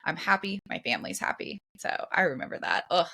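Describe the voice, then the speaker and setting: A woman's voice: lowish voice, sing-song, fairly quick, sharp tone. A woman in her thirties co-hosting a podcast, talking casually with lots of fillers and restarts. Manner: chatty, many fillers and restarts. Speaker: podcast co-host.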